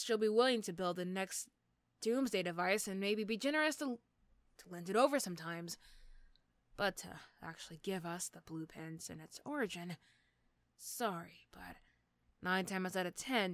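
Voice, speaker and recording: A woman plays a female supervillain for an ASMR roleplay, threatening and teasing in the same breath. She is sardonic and begrudgingly fond, and the sound is dry and close, at a medium pitch. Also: fairly bright tone, no echo, close to an everyday voice.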